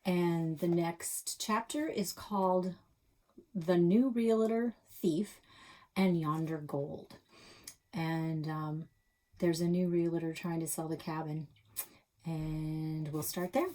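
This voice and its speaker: A woman reading a children's novel aloud as a storytelling host, with expressive delivery. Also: low voice, slow pace. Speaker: library read-aloud host.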